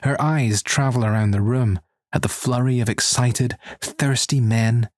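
A man's voice: mid-range voice, measured pace, dry recording, studio quality. A man working as an audiobook narrator, reading a scripted first-person erotic story.

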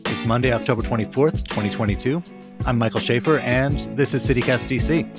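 An American man hosts a podcast in a conversational, presenterly way. His voice sits at a middling pitch, with music clearly playing behind him. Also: brisk. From Washington, D.C., United States. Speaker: podcast host.

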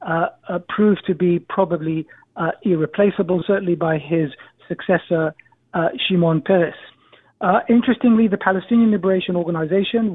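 A man, a guest professor answering interview questions in a measured way, pausing often with "uh". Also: high-pitched, slightly rough, no echo. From Israel. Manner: measured, frequent "uh" pauses. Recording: tinny phone-like remote line.

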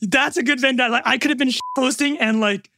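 A man in his thirties with a Canadian accent, a podcast guest telling his story with quick jokes and self-deprecating asides. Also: very high voice, steady tone, bright, forceful tone, a little hiss or hum. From North America. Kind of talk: casual podcast interview.

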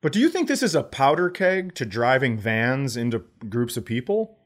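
A man's voice: medium pitch, big pitch swings, normal speed. Male podcast comic, middle register, loose conversational riffs with a blunt, profane, sardonic edge. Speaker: comedy podcast co-host. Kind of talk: casual comedic riffing.

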